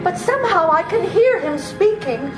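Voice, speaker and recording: A woman playing an anxious young traveller in an old-time radio drama, speaking in a measured, dramatic way. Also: very high-pitched, lively intonation. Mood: apprehensive. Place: live performance in a public library. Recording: roomy live stage, music behind.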